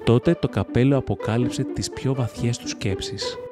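A male storybook narrator reads a scripted fairy tale at a middle pitch. Loud instrumental music plays behind him throughout.

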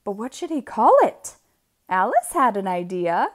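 A woman reading a picture book aloud for children, expressively, with deliberate, drawn-out emphasis on key words. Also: mid-range voice, very animated. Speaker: read-aloud storyteller.

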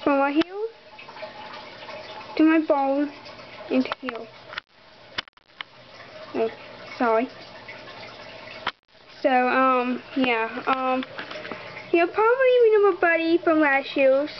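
A young girl giving a casual, halting tour of her toy horse collection, with many ums, talking straight to viewers. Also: sits high, lively intonation, highs cut off, noisy background. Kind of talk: casual barn tour narration. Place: bedroom. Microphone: handheld camera close to the floor.

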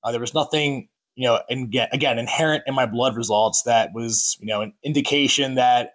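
A man's voice: mid-range voice, fairly even intonation, brisk, fairly bright tone. A man in his thirties chatting frankly in a casual home vlog, with many restarts and asides. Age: 30s. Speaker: fitness vlogger. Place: home kitchen, indoors.

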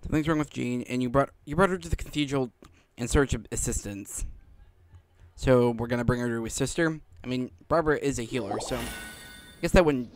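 A man giving casual, rambling commentary on a game playthrough, halting and often rephrasing himself. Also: mid-range voice. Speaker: gaming video creator. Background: game music.

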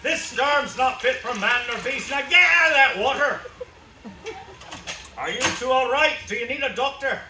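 A man playing a gruff, impatient small-town tough guy in a stage radio play, blunt and brusque. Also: very high voice, normal speed, sharp tone, slight background noise, full character voice. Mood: startled, brusque. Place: small theatre stage before an audience. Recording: echoey theatre stage, distant camera.

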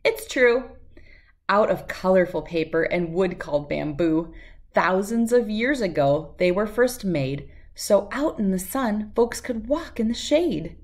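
A woman's voice: mid-range voice, lively intonation, a little slow. Middle-aged woman reading rhymes aloud to children. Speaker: children's book reader. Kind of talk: read-aloud of a rhyming picture book.

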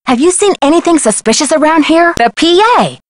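A woman's voice: sits high, lively intonation, brisk. An actress playing a plucky, determined young female amateur detective in a video game, polite and self-assured. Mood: urgent.

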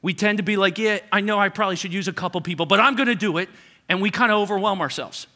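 A middle-aged pastor preaching a sermon, conversational and building to repeated, emphatic lines. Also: male voice, sits high, fast, pushed and bright. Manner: preaching with rising intensity and repetition, conversational asides.

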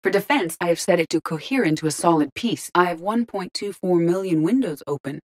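A woman voicing a calm, curious, literal-minded female ship artificial intelligence in a video game, speaking formally and precisely. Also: fairly low pitch. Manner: measured, formal, precise diction. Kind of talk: scripted video game character dialogue.

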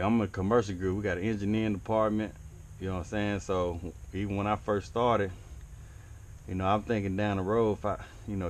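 Young hvac guy chatting while he works, low voice, easygoing, faint background hum. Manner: laid-back, chatty, encouraging. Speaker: HVAC technician. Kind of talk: casual work vlog talk. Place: walk-in cooler, indoors.

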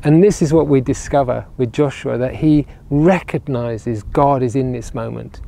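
A man's voice: medium pitch, normal speed, creaky, dark tone. A man, preaching earnestly to camera outdoors on a lapel mic, often repeating words as he builds a point. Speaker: regional minister. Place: outdoors by ruined castle walls on a sunny day. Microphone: clip-on lapel mic.

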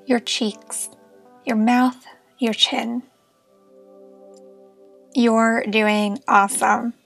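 A woman leading a guided meditation as a therapist, speaking in a gentle, reassuring and encouraging manner. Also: fairly high pitch.

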